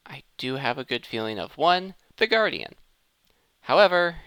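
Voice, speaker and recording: Bright, clear-voiced man doing lively gaming commentary. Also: mid-range voice, no room sound. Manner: self-correcting, thinking aloud. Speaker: gaming video host. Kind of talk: casual gameplay commentary of modded Minecraft.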